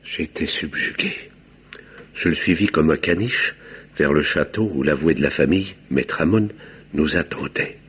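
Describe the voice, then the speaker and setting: An actor playing a sober, observant doctor who narrates a vintage radio mystery in a measured, literary way. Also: male voice, very deep voice, a bit bright, slightly rough, highs cut off, heavily acted. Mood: grave, intrigued.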